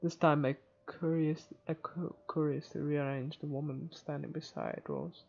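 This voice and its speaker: A man's voice: fairly high pitch, gravelly, darkish tone, slightly rough, muffled recording. A man reading a visual novel aloud as dramatic narration for a playthrough.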